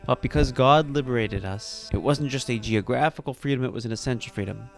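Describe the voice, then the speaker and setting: A man, a rabbi, giving an earnest, emphatic teaching that rises to animated peaks. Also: medium pitch, brisk, soft background music. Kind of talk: sermon-style teaching.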